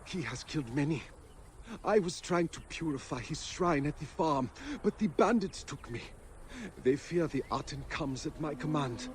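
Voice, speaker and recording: An actor playing a fervent, devout priest of a heretical sun cult in a video game, earnest and pleading. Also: male voice, fairly high pitch, expressive, moderate pace, slight background noise, lightly acted. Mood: earnest, urgent. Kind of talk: dramatic video game cutscene acting.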